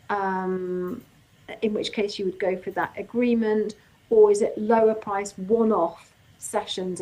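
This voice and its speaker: Woman, webinar host, going croaky, medium pitch, reads out questions, casual answers. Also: rough recording. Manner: reads out audience questions and answers them informally, with fillers. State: voice getting croaky. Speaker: legal workshop host. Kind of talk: live webinar Q&A.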